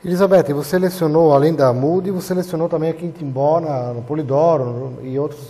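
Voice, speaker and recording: A male talk show host with a mellow, slightly husky voice, asking brief questions from across a small studio. He sounds a little off the mic. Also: on the high side, fairly even intonation, fairly quick. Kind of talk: interview questions.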